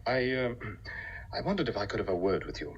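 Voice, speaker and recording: An actor playing a timid, flustered office partner who stammers and chuckles nervously in a 1950s radio comedy-drama. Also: male voice, lowish voice, big pitch swings, moderate pace, slightly rough, dull audio, exaggerated voice. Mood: flustered, bashful. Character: flustered, timid male office partner, Irish family roots. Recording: old 1950 radio broadcast.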